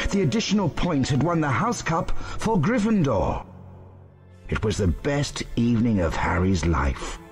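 A man reading scripted storybook narration in a measured, expressive storytelling manner. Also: mid-range voice.

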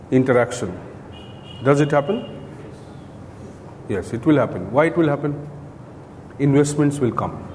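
In a reverberant room with clear background noise, a middle-aged Indian-accented man lectures to a class. His voice sits a little high for a man. He explains step by step and often tags his points with a checking question. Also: dark tone. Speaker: coaching lecturer. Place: indoor classroom, at a podium beside a screen.

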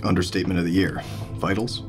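An actor playing a brusque surgeon under pressure in an audio drama. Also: male voice, deep voice, fairly even intonation, fast, over music, natural voice.

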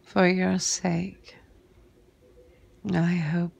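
A woman playing a cold, sardonic vampire crime boss in an ASMR roleplay, breathy and husky, often sinking into a whisper with a deliberate, menacing drawl. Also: on the low side, little pitch movement, darkish tone, full character voice. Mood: mock-sweet menace. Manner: slow, deliberate, menacing drawl with long pauses.

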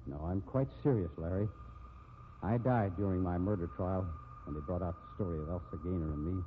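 A man's voice: low voice, brisk, lightly acted. An actor playing a bitter, self-pitying ruined man reduced to panhandling, in 1950s radio drama style. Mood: bleak, resigned. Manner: sardonic, self-pitying, weary. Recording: tinny, noisy 1950s radio recording.

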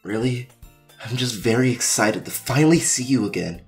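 A woman's voice: extremely low pitch, lively intonation, moderate pace, a little mellow, faint music behind. A woman voicing a sweet, dorky teenage boy in a comic dub, teasing and earnest. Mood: concerned. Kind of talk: animated-style comic dub acting.

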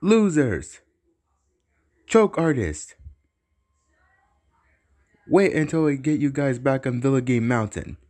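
A man's voice: medium pitch, expressive, takes it slowly, long pauses, darkish tone. A man voicing a big, bossy, short-tempered cartoon villain boss who blusters and repeats himself. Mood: angry. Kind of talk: cartoon villain character lines.

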